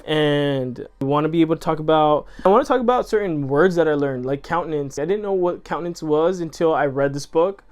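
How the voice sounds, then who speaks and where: Earnest, rambling man with a smooth voice. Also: on the high side, a little fast, hardly any pauses, darkish tone. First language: Spanish. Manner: rambling, earnest and effusive, with many self-corrections. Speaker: channel host. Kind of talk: casual chat to camera.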